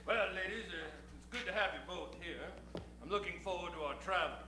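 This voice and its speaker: A man's voice: sits high, brisk. Man acting out a nervous Old West sheriff, roomy echo. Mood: awkwardly courteous. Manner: hesitant, repeats himself. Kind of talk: improvised old-time radio comedy acting. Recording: roomy, echoey live stage.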